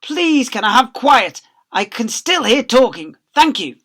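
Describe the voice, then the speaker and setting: A man's voice: very high voice, big pitch swings, a little slow, bright, forceful tone, pitched above the performer's own voice, exaggerated voice. A lovestruck male schoolteacher from a comic storybook, acted out by a man reading aloud. The voice sits well above a normal male register and gushes with overwrought, soppy devotion. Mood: earnest. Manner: melodramatic, overwrought declarations. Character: lovestruck, gushing, soppy male English teacher.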